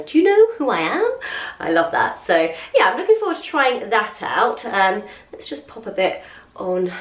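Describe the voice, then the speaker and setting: A young woman vlogging at home, chatting casually as she tries on outfits and unboxes makeup, gushing and repeating words for emphasis, a little stuffy from a cold. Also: mid-range voice. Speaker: lifestyle vlogger. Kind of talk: casual try-on and unboxing chat. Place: room at home. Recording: echoey room, voice from a distance.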